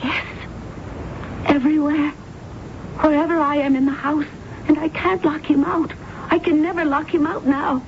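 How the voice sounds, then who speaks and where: An actress playing a haunted, grief-broken woman, prematurely aged and ruled by her dead father, in intense, halting 1970s radio drama style. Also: high voice, steady tone. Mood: haunted, despairing. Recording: dull, noisy old radio recording.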